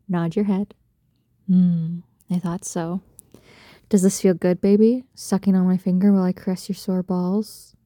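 A woman speaking in an intimate adult roleplay style straight to the listener, soft, breathy and coaxing, full of doting pet names. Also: fairly low pitch, no room sound, clean studio sound. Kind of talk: intimate erotic roleplay audio, addressing the listener.

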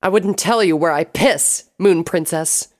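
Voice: medium pitch, moderate pace, a little mellow, natural voice. A fierce mare assassin from a fantasy audiobook, put on by the narrator. She is defiant and hard-bitten, hissing and muttering her words with bitter edge. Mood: furious, defiant.

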